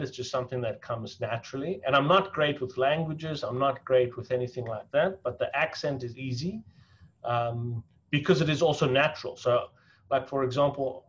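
A man from South Africa who now speaks with an American accent, telling his story as an interview guest in an earnest, halting way with many restarts. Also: mid-range voice, muffled recording. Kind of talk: reflective personal storytelling.

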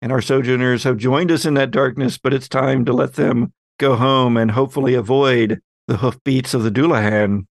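A man talking reflectively as a podcast co-host, in a measured and wordy way. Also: medium pitch, fairly even intonation, vocal fry, no echo. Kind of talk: reflective panel chat.

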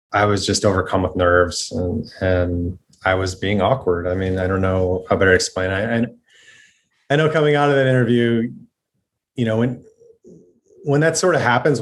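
A young man speaking as a podcast guest, hesitant and halting, with many restarts as he apologizes. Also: lowish voice. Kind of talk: apologetic conversation.

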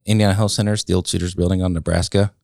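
A man in his late thirties talks in an extremely low, gravelly voice. He is a guest in a casual conversation, thinking aloud with frequent ums and self-corrections. The room sounds dry and close. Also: flat delivery, darkish tone, no echo. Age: late 30s. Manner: reflective, halting, many ums and restarts.

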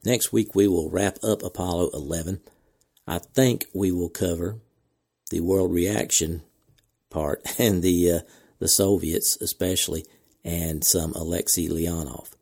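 A man hosting a podcast, reading announcements in a measured, deliberate way with casual asides, his voice cracking from a slight cold. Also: deep voice, steady tone, darkish tone, breathy edge. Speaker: podcast host.

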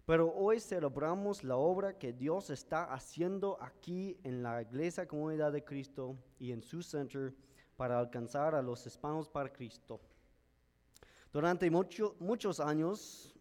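A male pastor whose first language is English, preaching a sermon in a careful, measured way with an American accent. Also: a little high, a little mellow. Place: church sanctuary. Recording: church hall with long reverb.

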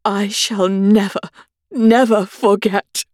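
A woman's voice: medium pitch, fairly even intonation, slow pace, a little mellow, dry recording, studio quality, pitched above the performer's own voice, close to an everyday voice. A woman voicing a timid, self-dramatising little King in a children's storybook, quavering and melodramatic. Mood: frightened.

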